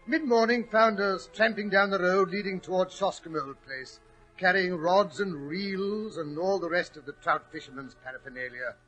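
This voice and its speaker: An actor playing Doctor Watson, a hearty, puzzled, exclamatory English gentleman, in 1940s radio drama style. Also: high-pitched, moderate pace, faint music behind, rough recording. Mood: genial narrating. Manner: blustery, exclamatory. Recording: old 1940s radio recording.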